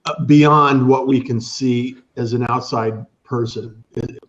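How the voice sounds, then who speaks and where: A man hosting a business talk show speaks at a middling pitch, rambling and repeating words as he looks for the next one. His sound is a little dull and muffled. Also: fairly even intonation, slow pace.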